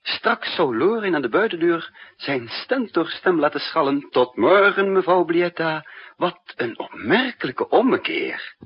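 A man's voice: on the high side, big pitch swings, a little slow, breathy edge, exaggerated voice. An actor playing a weary male spy who tells his desperate story in dramatic first person in a 1960s radio drama. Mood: tense, dramatic. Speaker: narrator and lead character. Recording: dull muffled 1960s radio recording.

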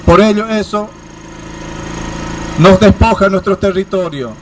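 Impassioned high-voiced man giving a protest speech. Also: unhurried, darkish tone, a little hiss or hum. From Formosa province, Argentina. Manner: impassioned, rhetorical questions, raised voice.

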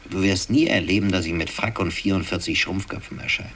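An actor playing a bitter, cynical decorated soldier in a sardonic, brooding radio drama monologue, on a noisy old recording. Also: male voice, low voice, normal speed, fairly bright tone. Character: bitter, cynical war-decorated soldier, lover of a young woman. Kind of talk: 1960s German radio drama acting.

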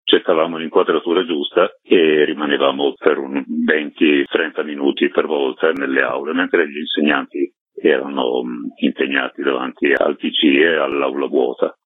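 An extremely deep-voiced man with a husky tone explains his work as a documentary director. He talks conversationally, as a radio guest on a narrow phone-like line. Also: little pitch movement, moderate pace, dry recording.

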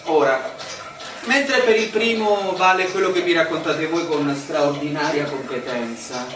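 An actor playing an insistent land surveyor, a stranger challenging officials in formal, ironic, theatrical speech. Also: male voice, on the high side, measured pace, natural voice. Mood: ironic, probing. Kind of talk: stage-style radio drama acting. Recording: noisy echoey room, far from mic.

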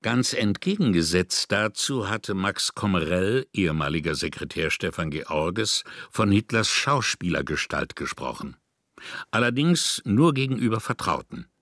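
A man with a low voice reads literary essay prose. He is measured and formal, and the sound is dry, with no room around it. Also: big pitch swings, a bit bright, dry recording. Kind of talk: scripted literary essay narration.

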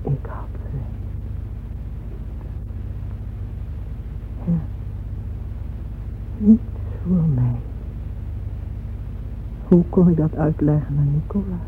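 An actress playing a wealthy, lonely middle-aged widow in a radio drama, yearning and confiding in a halting, intimate way. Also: female voice, sits low. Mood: wistful, brooding. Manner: hesitant, halting, confiding, at times near whispering. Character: wealthy lonely widow, wistful and yearning, addressing her absent beloved. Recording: muffled phone-like old radio recording, faint noise.

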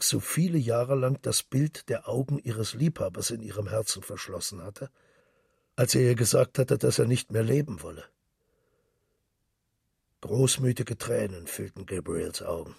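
A brooding, melancholy narrator of a literary radio play, speaking solemnly and with measured care. The voice is very low and heavily husky, with a gravelly creak at the edges. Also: male voice, no room sound, clean studio sound, distant mic, exaggerated voice.

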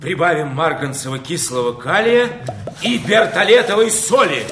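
An actor playing a fussy, stubborn alchemist's servant in a fairy-tale audio play, muttering deliberately. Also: male voice, on the high side, pushed and bright. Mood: absorbed, gleeful. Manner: deliberate, muttering to himself while working. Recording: echoey, distant, clearly noisy background.

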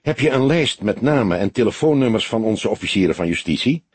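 A man reading the part of a grizzled older police detective in an audiobook, gruff and terse, with curt probing questions. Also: on the low side, animated, brisk, dry recording.

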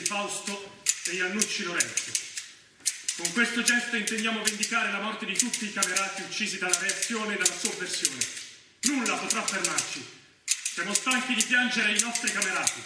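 Fanatical militant played by a man: high, forceful declaimed proclamation, solemn theatrical drama, very echoey. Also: natural voice. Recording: very echoey room, distant mic, faint noise.

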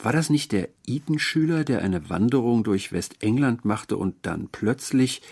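A man's voice: medium pitch, expressive, moderate pace, creaky, a little mellow, slightly rough. A man voicing a polite, earnest seventeen-year-old boy in an audiobook story, puzzled and eager as he searches for words. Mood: puzzled, searching.

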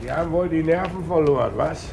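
An actor playing a curt, probing police commissioner in a 1950s German radio play. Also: male voice, a little high, a little fast, creaky. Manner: terse, probing questions. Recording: old, faintly noisy 1950s radio recording.

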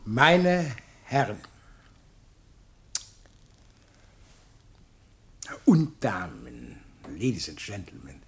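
A man's voice: medium pitch, a little mellow, husky, full character voice. An actor playing a pompous, fawning man of letters in a radio play, speaking in ornate, self-important oratory. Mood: solemn, grandiloquent. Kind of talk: theatrical radio play acting.

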